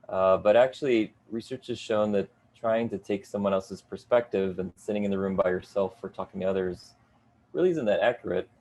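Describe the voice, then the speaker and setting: Middle-aged guy hosting a panel on a video call from his basement, gravelly voice, lots of uhs. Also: lowish voice. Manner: upbeat, warm in addressing guests, frequent "uh" fillers. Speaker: panel moderator. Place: basement home office.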